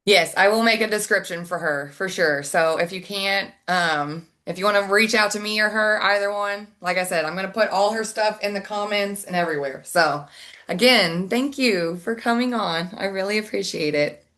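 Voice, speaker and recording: A woman's voice: medium pitch, normal speed, a bit bright. Chatty, effusive woman podcast host. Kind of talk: casual interview.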